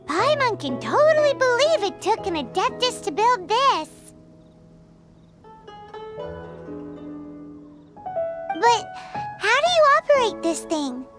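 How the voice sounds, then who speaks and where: A childlike floating fairy from a video game. The squeaky voice sits extremely high and swings widely, blunt and exclamatory, with clear music behind it. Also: female voice, big pitch swings, moderate pace. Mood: excited, dismayed. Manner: squeaky, exclamatory, speaks of herself in third person. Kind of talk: scripted video game dialogue. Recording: game dialogue over prominent music.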